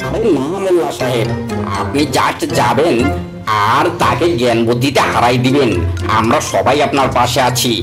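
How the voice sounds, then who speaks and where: A man's voice: medium pitch, normal speed, music in the background, lightly acted. A man playing a deferential village messenger in a cartoon, polite and coaxing. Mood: pleading. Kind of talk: cartoon character acting.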